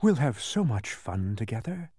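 Villainous demon played by a male reader: oily, falsely soothing, theatrical, very animated pitch, dry close sound. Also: husky, dry recording. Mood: soft, coaxing. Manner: theatrical, drawn-out, sneering.

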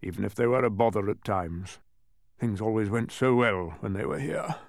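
A man's voice: on the low side, moderate pace, gravelly, no room sound, studio quality. A man voicing a blustering, melancholy king in a storybook, with a regal, grandiose manner of proclaiming. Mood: wistful, resigned.

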